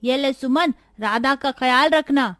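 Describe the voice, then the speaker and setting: A woman's voice: sits high, measured pace, a bit bright, no echo, studio quality. A woman voicing a poor, earnest, tender young child who pleads in a moral-story cartoon. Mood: worried, tender.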